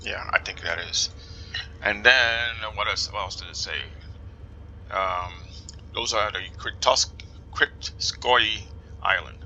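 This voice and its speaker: A man's voice: fairly low pitch, bright, forceful tone, muffled recording, slight background noise. A man with a New Jersey accent giving casual commentary while playing a flight sim, musing aloud and slipping into whispers. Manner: musing aloud, reading instructions slowly, often drops to a whisper.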